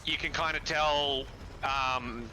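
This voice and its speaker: A man's voice: a little high, fairly even intonation, slow pace, sharp tone, slight background noise, low-quality recording. A man playing a co-op speedrun, calling out moves in a laid-back, casual way. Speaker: co-op speedrun partner. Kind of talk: casual gaming chat.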